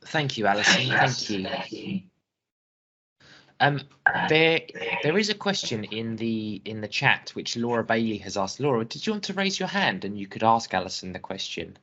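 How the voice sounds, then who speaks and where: Lowish-voiced man moderating, muffled webinar sound. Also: very animated, normal speed, pushed and bright. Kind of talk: informal session moderating. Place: online webinar call. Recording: dull lo-fi webinar audio.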